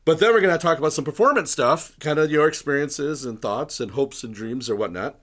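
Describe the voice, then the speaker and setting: Slightly hoarse, chatty podcast host man. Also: a little high, brisk, a bit bright. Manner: chatty, rambling, frequent throat clearing. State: hoarse from bronchitis. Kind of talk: casual interview chat.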